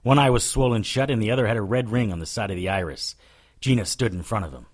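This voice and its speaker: A man's voice: fairly low pitch, fast, lightly acted. A man playing a determined, hard-boiled witch hunter, narrating an audio drama in the first person. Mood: tense, narrating. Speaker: lead character and narrator.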